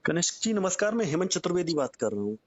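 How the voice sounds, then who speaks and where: A man's voice: sits high, very animated, quick pace. A man working as a journalist conducts a news phone interview, politely explaining the background and putting questions, on a call recording. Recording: dull, dry lo-fi call recording.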